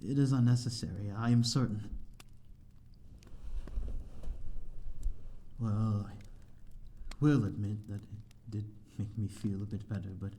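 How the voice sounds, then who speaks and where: A man's voice: on the low side, fairly even intonation, moderate pace, dark tone, lightly acted. A man playing a lonely, courteous vampire in an audio roleplay, speaking in formal, old-fashioned, hesitant phrases to the listener. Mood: flustered, polite. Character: lonely, courteous male vampire living in an abandoned manor.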